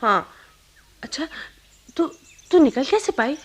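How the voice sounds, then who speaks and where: A high, girlish voice a young wife in a radio play. She speaks in dramatic exclamations, stumbles when flustered and scolds with sharp authority. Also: very animated, slow pace, exaggerated voice. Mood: nervous. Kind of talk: Hindi radio play acting.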